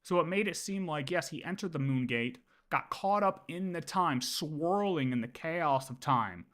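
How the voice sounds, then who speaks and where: Bright-voiced man thinking aloud on stream. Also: on the high side, expressive, normal speed. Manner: hesitant, repeats words while thinking aloud. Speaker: livestreamer. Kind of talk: casual gaming lore commentary.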